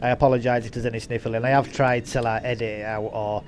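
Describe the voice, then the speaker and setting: A man's voice: mid-range voice, little pitch movement, fast talker, a little mellow, a little hiss or hum. A man, congested with a head cold, chatting casually as he introduces a gameplay video with plenty of ums. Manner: chatty, rambling, frequent ums. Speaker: gaming channel host. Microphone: desk mic he switches off.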